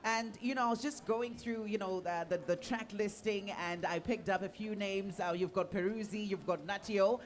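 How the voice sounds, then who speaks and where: A woman's voice: medium pitch, fairly even intonation, moderate pace, hardly any pauses. Gushing woman radio host. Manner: gushing, warm reactions, filler-heavy. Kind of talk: live radio hosting. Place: radio studio.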